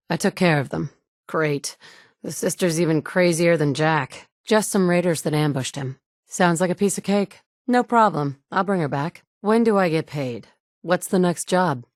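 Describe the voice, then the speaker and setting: A tough, wisecracking adventurer, speaking in a lowish voice. Her lines are short, dry and sardonic, and the sound is close and dead with no room around it. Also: darkish tone, no room sound. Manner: terse, dry, sardonic delivery. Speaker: player character. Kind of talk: video game dialogue.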